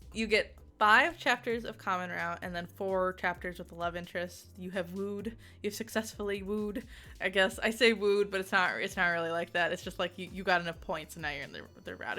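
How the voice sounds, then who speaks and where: A woman giving a casual, chatty game review, giggly and excitable, with rambling asides. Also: medium pitch. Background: music.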